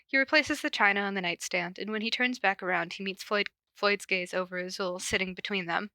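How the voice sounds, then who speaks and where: A woman's voice: mid-range voice, brisk, bright, forceful tone, no room sound. A woman podcast host casually reading fan fiction aloud and chatting, with a giggly, informal manner and frequent asides. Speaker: podcast host and podfic reader. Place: corner of a basement at home.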